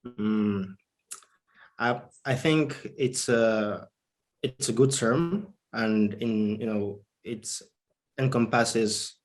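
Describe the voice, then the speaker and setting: A man's voice: lowish voice, extremely slow. A man with a Nigerian accent talking conversationally as a panelist, hesitant and often dropping in "you know". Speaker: writer, panelist. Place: online video panel.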